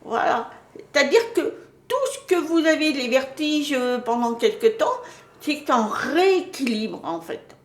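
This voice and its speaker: A woman's voice: sits high, sing-song, unhurried, reverberant. A woman giving a casual spiritual talk to her viewers, hesitant, with many fillers and restarts. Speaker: spiritual channel host.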